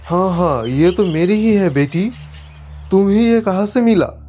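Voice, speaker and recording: A man playing a kindly, grateful stranger in an animated moral story. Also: sits high, unhurried. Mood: curious. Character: grateful, kindly man who lost his bag. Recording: muffled audio with background music.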